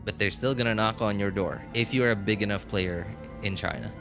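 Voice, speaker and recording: A man's voice: lowish voice, fairly quick, creaky. A man chatting casually on a gaming livestream, rambling and correcting himself as he goes, with a chuckle now and then. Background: background music.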